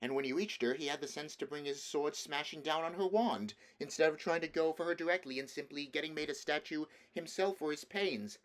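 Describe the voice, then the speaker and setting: Storybook eldest brother, played by a man: boyish higher voice, earnest, urgent, emphatic. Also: a little fast, hardly any pauses, lightly acted, low-quality recording. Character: earnest, stern boy, eldest brother, in a war tale.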